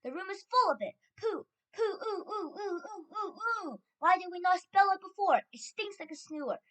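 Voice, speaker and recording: A woman's voice: very high-pitched, lively intonation, normal speed, fairly bright tone, voice pushed up, rough recording. A woman voicing agitated witches from a storybook, exclaiming in disgust.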